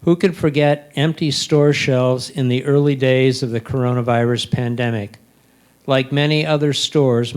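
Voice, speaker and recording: Smooth, clear male narrator. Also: medium pitch. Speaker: club member reading photo captions. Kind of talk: scripted narration read aloud.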